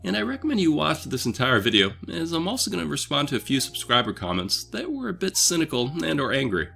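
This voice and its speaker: Lively-voiced man explaining investing, a bit sick. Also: on the high side, fast talker, gravelly, faint music behind. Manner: upbeat, conversational, explaining step by step. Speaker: dividend investing channel host. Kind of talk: scripted voice-over explainer.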